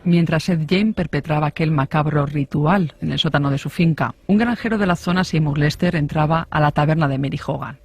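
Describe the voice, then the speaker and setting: A man's voice: fairly high pitch, slight background noise. A man reading scripted narration of a true crime story.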